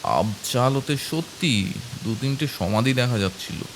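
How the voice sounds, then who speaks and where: A man's voice: mid-range voice, animated, a little slow, slight background noise, rough recording. A man narrating a scripted first-person horror story as its storyteller. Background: prominent background music.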